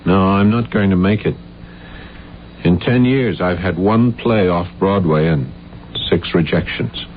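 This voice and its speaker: Troubled failed writer, really low voice, earnest and halting, 70s radio play, muffled old tape. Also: male voice. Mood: resigned. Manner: halting, intense, stammering when flustered. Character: earnest, guilt-ridden failed playwright confessing to his friend. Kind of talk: 1970s radio drama acting. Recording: dull, noisy old radio recording with hum.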